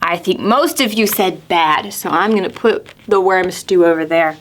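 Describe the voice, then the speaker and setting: A woman presenting storytime to preschoolers, asking simple questions and stressing key words deliberately. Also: lowish voice, a little hiss or hum. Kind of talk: storybook reading and talking to young children.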